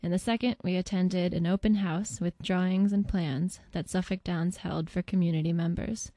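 Calm woman narrating, dark and dry. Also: on the low side, normal speed, dry recording. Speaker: narrator of a location-recording CD. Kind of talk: scripted narration.

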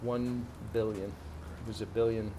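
A man speaking as a company spokesman at a press conference, in halting, hesitant answers. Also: on the low side, little pitch movement. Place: indoor press conference in clubhouse room. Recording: noisy location recording, room ambience.

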